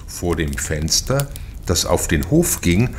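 Very deep, slow-paced male narrator. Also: sing-song, slight background noise. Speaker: audiobook narrator. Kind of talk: scripted literary narration of a crime novel.

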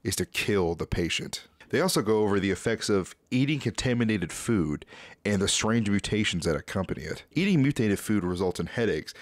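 A young man with a fairly low voice narrates a horror series recap as a video host. His delivery is scripted yet conversational and casual. He is recorded close on a desk mic in a small room, with a dry sound. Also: no room sound. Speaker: YouTube host. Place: small dim home room, indoors. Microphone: close desk microphone.

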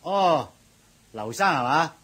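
An actor playing a loud, bossy middle-aged supermarket manager with a husky voice in a radio drama. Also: male voice, on the high side, animated, takes it slowly, full character voice. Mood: officious. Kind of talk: 1980s radio drama acting.

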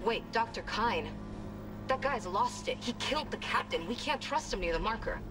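An actress playing a young, wary crew technician, blunt and urgent, in a game. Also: female voice, fairly high pitch, animated, normal speed, close to an everyday voice. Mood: tense, urgent. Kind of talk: game acting. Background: loud music.

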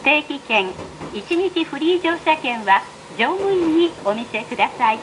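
A woman's voice: high voice, expressive, unhurried. A woman reading a scripted train announcement in a formal, evenly enunciated way, over the carriage speakers. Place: played over speakers inside a moving train. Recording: train PA with clear running noise. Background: train running noise.

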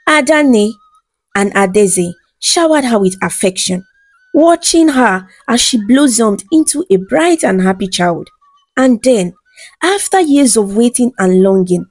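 A woman with a fairly high voice tells a folktale from a script, measured and dramatic in her delivery. The recording is dry and close, with soft music behind her. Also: dry recording, low-quality recording. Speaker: storyteller.

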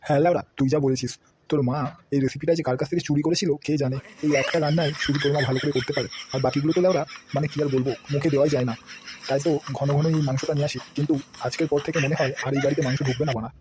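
A man's voice: a little high, fairly even intonation, rapid-fire, noisy background, natural voice. A man voicing a grumpy, foul-mouthed middle-aged father in a cartoon, grumbling and swearing constantly. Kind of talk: cartoon voice acting. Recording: loud background music.

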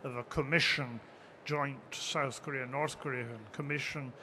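An older man on a panel, speaking deliberately with uhs at a table microphone. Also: mid-range voice, steady tone, pushed and bright, slight background noise. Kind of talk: panel discussion. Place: bookfair panel stage with an audience, indoors.

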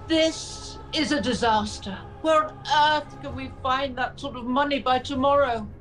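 A gallant, exasperated pantomime prince, played by a woman. The delivery is theatrical and slow, in a fairly high voice with music behind it. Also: a bit bright. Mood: outraged. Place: home kitchen on a video call.

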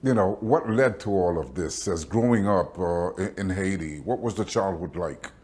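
A man's voice: low-pitched, animated, normal speed, a little mellow. A middle-aged man hosting an interview over a video call, asking rambling questions with restarts. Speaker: host. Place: indoors, windowed room. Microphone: webcam video call.

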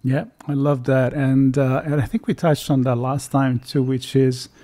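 A man's voice: mid-range voice, level tone, moderate pace, warm tone. A middle-aged Italian man with an Italian accent, hosting a podcast and asking rambling, friendly questions. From Italy. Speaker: podcast host. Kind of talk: casual interview talk. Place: home studio room. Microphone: studio mic on arm.

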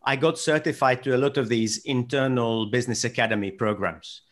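A man in his forties from Hungary with a Hungarian accent, hosting a video call conversation in a measured, thoughtful way from his home office. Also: medium pitch. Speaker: host. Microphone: desk mic on video call.

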